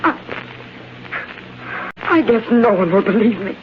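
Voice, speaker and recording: An actress playing an anxious, halting, distraught wife in a vintage radio drama. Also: female voice, a little high, lively intonation. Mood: distraught, disbelieving. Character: distraught, anxious wife pleading for missing husband. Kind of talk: 1930s-40s radio drama acting. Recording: muffled, noisy vintage radio broadcast.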